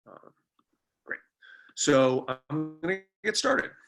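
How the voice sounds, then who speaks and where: A man's voice: a little high, sing-song, slow, a bit bright, soft background music. A man giving an informal online presentation, explaining things in a conversational way as the webinar's presenter. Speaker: webinar presenter, company co-founder. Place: remote webinar.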